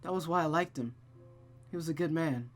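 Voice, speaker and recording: A woman voicing a gentle female friend in a visual novel, speaking tenderly and frankly in a measured way. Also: sits low, soft background music, lightly acted.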